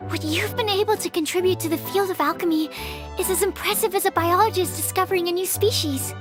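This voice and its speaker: A woman's voice: very high voice, quick pace. A woman playing a sweet, earnest young female researcher in an anime game, polite and encouraging. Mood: impressed, earnest. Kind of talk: anime video game voice acting. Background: music.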